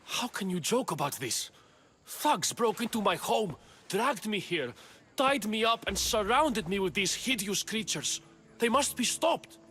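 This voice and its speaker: An agitated, high-pitched politician. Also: male voice, animated, a little slow, sharp tone, heavily acted. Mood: indignant. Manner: agitated, theatrical, wordy. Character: frightened, indignant male Athenian politician, dramatic and grateful. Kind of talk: video game voice acting.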